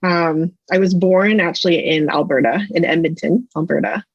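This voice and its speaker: A woman in her thirties from Canada speaks as an interview guest, thoughtful and pausing often with fillers. Her voice is husky and sits a bit low. The sound is dry and somewhat dull. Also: no room sound. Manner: thoughtful, hesitant with many fillers. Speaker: guest psychology researcher. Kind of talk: casual interview chat.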